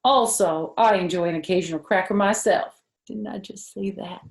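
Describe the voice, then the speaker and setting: An older woman reading a children's story aloud in an expressive narrating style. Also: mid-range voice, moderate pace, creaky. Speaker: storytime reader, former first-grade teacher.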